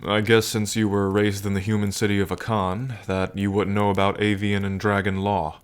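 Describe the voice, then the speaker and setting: A man playing an affectionate, wry and regal dragon king in a soft, unhurried ASMR roleplay monologue. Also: on the low side, level tone, smooth, close to an everyday voice. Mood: patient, explaining. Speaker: roleplay character performer.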